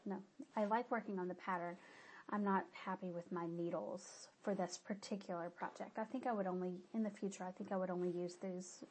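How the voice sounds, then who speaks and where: A woman's voice: fairly low pitch, fairly even intonation, a little fast, slightly rough. Stuffy-nosed woman rambling about knitting. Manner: rambling, self-correcting. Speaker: knitting podcaster. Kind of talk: casual project update.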